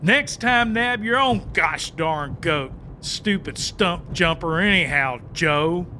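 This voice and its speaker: A high, bright male voice, slow-paced, for a cocky country sidekick in an audiobook drama. He is folksy, crude and blustering. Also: lively intonation, slight background noise, exaggerated voice. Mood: indignant.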